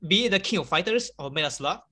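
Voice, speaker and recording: A man whose first language is Chinese, chatting casually as an interview guest, laughing often and filling pauses with "like" and "um.". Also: high-pitched, expressive, sharp tone.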